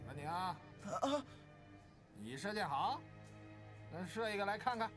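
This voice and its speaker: A taunting young nobleman drawls slowly and smugly in a high voice that swings wildly. The medium is animated period fantasy drama. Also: over music. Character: smug, taunting young male aristocrat.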